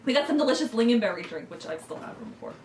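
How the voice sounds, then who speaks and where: A woman co-hosting a video channel, telling a playful, improvised story in casual chat with a halting, silly manner. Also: fairly high pitch, lively intonation, darkish tone. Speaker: co-host of a YouTube channel. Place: indoors, in a room set up for filming. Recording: roomy, distant mic, faint noise.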